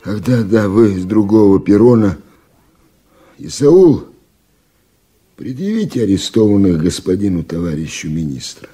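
A cold, bitter general. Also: male voice, lowish voice, sing-song, measured pace, pauses often, mellow, slightly rough, heavily acted. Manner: curt commanding orders, bitter sarcasm. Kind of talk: archival radio play acting.

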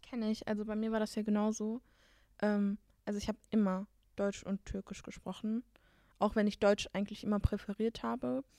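A woman's voice: mid-range voice, warm tone, dry recording. Young woman podcast cohost, mid voice, super casual, lots of ums, dry sound. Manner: casual, filler-heavy, talks with gestures. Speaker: podcast co-host. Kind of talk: casual chat.

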